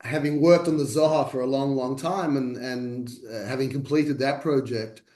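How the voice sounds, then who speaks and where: A man's voice: mid-range voice, steady tone, moderate pace, darkish tone, roomy sound. A man, a translator speaking as a guest over a video call, self-deprecating with many restarts. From Australia. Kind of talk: conversational talk.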